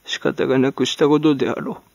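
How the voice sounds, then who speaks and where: A man voicing a croaking, gasping old woman who mutters excuses for herself in rustic, archaic speech, in a storybook reading. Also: medium pitch, little pitch movement, brisk, a little mellow, no room sound, muffled recording, voice pushed up. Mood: pleading, self-justifying. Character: croaking, muttering, self-justifying old hag in rags.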